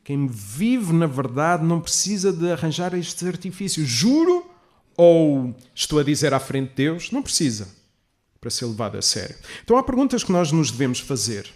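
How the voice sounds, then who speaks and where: A male pastor. He explains step by step in a measured, teacherly manner, stressing key words and posing rhetorical questions to his listeners. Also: a little high, sing-song, darkish tone. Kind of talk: sermon, expository preaching to a congregation.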